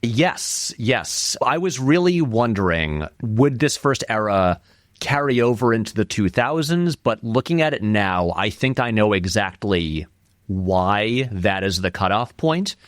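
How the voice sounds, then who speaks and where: A male podcast guest with a slightly low voice, recorded close and dry. He chats casually, working through his thoughts out loud in a halting, conversational way. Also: sing-song, no room sound. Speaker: podcast guest, film essayist.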